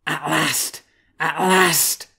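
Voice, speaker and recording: A man reading a storybook, voicing a nervous, amorous young she-wolf who chatters quickly in a raised, raspy, creaky voice. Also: mid-range voice, fairly even intonation, fairly bright tone, exaggerated voice. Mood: passionate. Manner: talks quickly, gushing and breathless.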